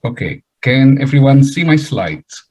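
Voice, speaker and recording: A middle-aged man with an Indonesian accent giving a webinar lecture over a video call, halting, with many fillers and restarts. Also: medium pitch, sing-song, a little mellow, slightly rough. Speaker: guest speaker, chemical engineering professor.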